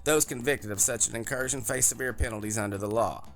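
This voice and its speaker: A man voicing an officious, well-groomed government interrogator in a suit, with a brisk, clipped, bureaucratic manner, in an audiobook. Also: mid-range voice, creaky, fairly bright tone, soft background music, voice pushed up.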